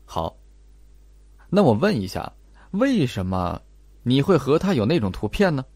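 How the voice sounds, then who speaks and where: A man acts out a calm detective in an audiobook mystery, laying out deductions step by step. The questioning is measured and pointed, with lively pitch movement in a dry, close recording. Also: slightly rough, dry recording. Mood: probing. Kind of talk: audiobook character acting.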